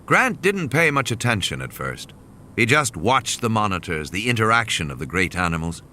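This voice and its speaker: A man's voice: on the low side, very animated, moderate pace, pushed and bright, slight background noise. A man reading scripted audiobook narration as the narrator of a dramatic story. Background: background music.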